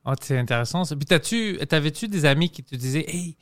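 Podcast host, French with a foreign accent, middle pitch, dry close sound, relaxed and curious. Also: brisk, dry recording. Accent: non-Québécois French accent. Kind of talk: casual podcast interview.

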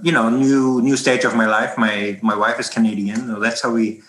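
A man's voice: medium pitch, level tone, moderate pace, fairly bright tone, echoey room, poor audio. A man in his forties from Germany with a German accent, talking casually in an interview about his life as a baking teacher, rambling with many restarts. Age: 40s. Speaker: bread baking instructor.

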